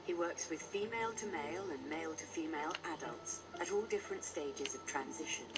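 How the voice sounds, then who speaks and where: A woman's voice: low-pitched, brisk, recorded from a distance, heavy background noise. A woman reading scripted documentary narration.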